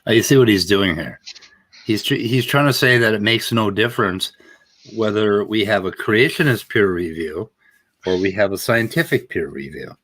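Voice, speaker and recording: Low-voiced blunt stream host. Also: male voice, normal speed, a bit bright. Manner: blunt, opinionated. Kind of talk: casual live-stream commentary.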